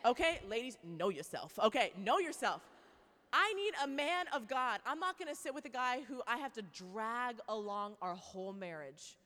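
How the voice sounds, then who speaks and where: Woman, twenties, faith talk, animated storytelling, slightly high voice, long hall reverb. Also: normal speed. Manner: animated storytelling with acted quotes and asides. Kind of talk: faith talk to a live audience.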